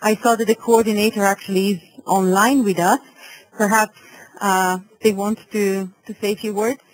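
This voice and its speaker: A woman's voice: mid-range voice, little pitch movement, normal speed, poor audio. Woman hosting an online webinar. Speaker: webinar host. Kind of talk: spoken webinar presentation.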